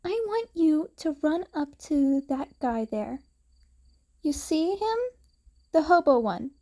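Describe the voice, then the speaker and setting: A woman voicing a gentle, protective teenage boy vigilante in a fanfiction reading, soft-spoken and reassuring. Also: high voice, animated, slow, pitched above the performer's own voice. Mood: soft reassurance.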